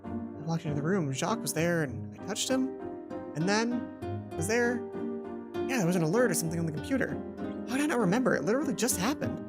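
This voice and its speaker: A woman's voice: mid-range voice, animated, fast, long pauses, close to an everyday voice. A woman voicing a smitten, evasive young female student in a video game, soothing and hesitant, with music behind. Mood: flustered.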